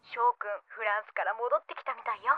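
A woman playing a lively, encouraging editor friend in her thirties in a Japanese radio drama. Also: fairly high pitch, fast talker, narrow, tinny audio, natural voice. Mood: concerned, coaxing.

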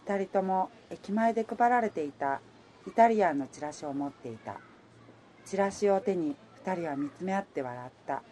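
A woman's voice: fairly low pitch, animated, moderate pace, mellow, a little hiss or hum, close to an everyday voice. A woman playing a level-headed heroine in her thirties who also narrates her romance in a Japanese radio drama. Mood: reflective narration.

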